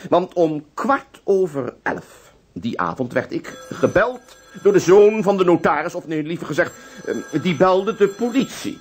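An actor playing a genial police inspector in a radio drama, amused and schoolmasterly, with hesitant asides. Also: male voice, high-pitched, very animated, measured pace, a little hiss or hum. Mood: storytelling, grave. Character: genial, teasing police inspector telling old cases.

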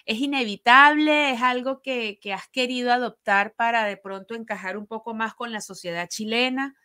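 A woman radio host with a Venezuelan accent and a high, very bright voice. She chats casually and affectionately with her guest, bubbly and close to the microphone. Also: normal speed, clean tone. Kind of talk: casual interview chat. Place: small living room at home, indoors. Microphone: studio microphone on a boom arm, close.

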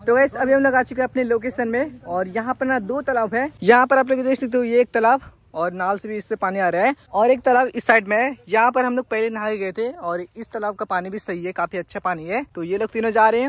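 A young man filming a casual outdoor vlog at a village pond with a handheld phone, talking excitedly and calling out to friends. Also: high-pitched. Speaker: vlogger.

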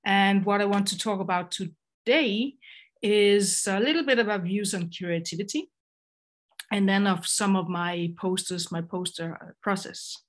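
A woman's voice: medium pitch, unhurried. A middle-aged woman from Denmark with a Danish accent, giving a video-call lecture on design, hesitant with many fillers and restarts. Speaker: graphic designer and educator. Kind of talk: online presentation lecture.

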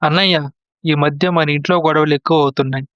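A man's voice: a little high, fairly even intonation, brisk, fairly bright tone, dry recording, lo-fi sound, lightly acted. A man playing a trusting, easily swayed younger brother and husband in a moral story drama, earnest and questioning. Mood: resentful.

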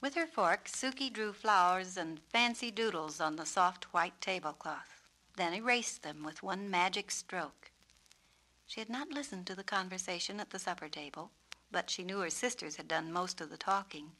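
A woman narrating a children's storybook on a 1970s record, with expressive, deliberate phrasing. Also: on the low side, low-quality recording. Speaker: author and narrator.

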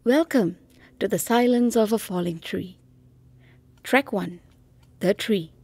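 A woman leads a guided relaxation in a soft, extremely breathy voice that often drifts toward a whisper. Her delivery is extremely slow, with long pauses between short phrases. The tone is dark and mellow, at a medium pitch. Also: dry recording. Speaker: meditation guide.

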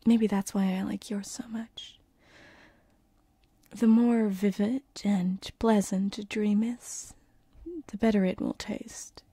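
A woman playing a gentle, teasing sleep paralysis demon in a whispered ASMR roleplay, close on a binaural microphone, with a breathy, hesitant delivery. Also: medium pitch, dry recording, exaggerated voice. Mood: savoring, playful. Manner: slow, soothing, hesitant, teasing.

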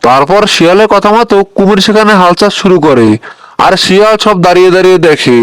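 A man's voice: high voice, animated, quick pace, loud music behind the voice, rough recording. A man narrating a scripted children's folk tale as a storyteller.

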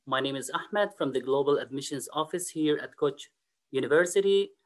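A man whose first language is Arabic, an admissions officer giving a webinar presentation over a computer mic, often pausing on fillers. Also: fairly high pitch. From Middle East and North Africa. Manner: hesitant, frequent uh fillers and restarts.

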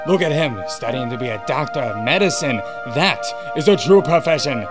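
Man doing a gruff dad, scornful, music behind. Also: medium pitch, animated, normal speed, natural voice. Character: stern old-world immigrant father, scornful. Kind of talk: radio drama acting. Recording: music playing underneath.

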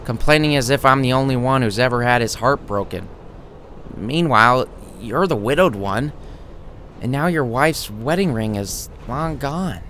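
A man's voice: medium pitch, normal speed, a bit bright, a little hiss or hum, voice pushed down, natural voice. A man voicing a heartbroken, exasperated young student in an audio short story, self-pitying and prone to swearing. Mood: dejected.

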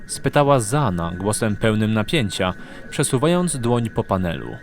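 A man narrating a fantasy audio drama in a measured, suspenseful storytelling manner. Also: on the low side, big pitch swings. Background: music.

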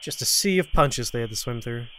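A man's voice: medium pitch, expressive, fairly quick, sharp tone, a little hiss or hum. A man hosting a gaming show with casual gameplay commentary, talking to himself and to his opponents as he plays. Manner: talks to himself and to game enemies.

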